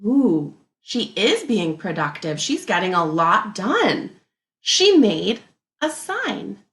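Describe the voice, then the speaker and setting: A woman teacher reading a picture book aloud to young children in an expressive, deliberate way, pausing to muse and think out loud. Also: mid-range voice, reverberant.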